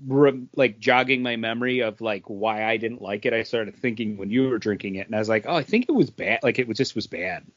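A man co-hosting a podcast in casual chat, with a rambling, halting delivery. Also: on the low side. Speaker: podcast co-host. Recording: dull, dry lo-fi audio.

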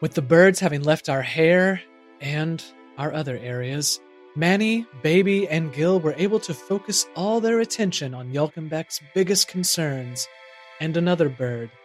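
A man's voice: on the high side, normal speed, faint music behind, close to an everyday voice. A man playing a pompous, theatrical narrator performing a homemade comic audio play. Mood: portentous. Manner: grandiose, theatrical. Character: pompous, melodramatic play narrator addressing his townsfolk. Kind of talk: comic amateur audio drama.

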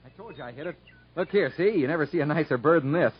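Husky, stubborn young Southern country fellow. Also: male voice, a little high, animated, fast. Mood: tender, easygoing. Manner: folksy, plain, stubborn. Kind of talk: 1950s American radio drama acting. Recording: dull 1950s radio broadcast recording.

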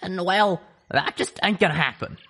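A man's voice: sits high, normal speed, sharp tone, slightly rough, voice pushed up, exaggerated voice. A man voicing a tough, wry female griffin who smokes and talks in folksy slang.

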